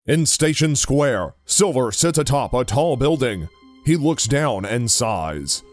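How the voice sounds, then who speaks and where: A man's voice: mid-range voice, expressive, takes it slowly, faint music behind. A man reading scripted narration for an audio drama as its narrator.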